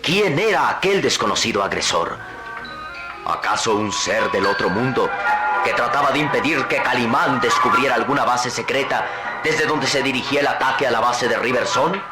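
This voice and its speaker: Suspenseful male radio narrator, mid pitch, heavy music bed. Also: lively intonation, moderate pace. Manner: dramatic, suspenseful. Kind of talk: scripted radio drama narration.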